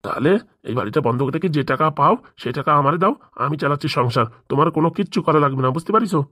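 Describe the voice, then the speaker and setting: A man's voice: a little high, expressive, rapid-fire, sharp tone, no echo, studio quality. A man voicing a pushy, scheming father-in-law in a comic cartoon, badgering with questions. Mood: nagging, pushy. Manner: badgering, nagging with rhetorical questions. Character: pushy, scheming father-in-law pressing his son-in-law for money.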